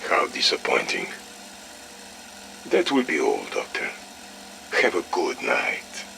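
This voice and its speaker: An actor playing a stern, menacing military colonel, clipped and commanding, in a video game audio log. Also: male voice, rumbling low, huge pitch swings, slow pace, creaky, sharp tone, slight background noise, heavily acted. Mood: cold.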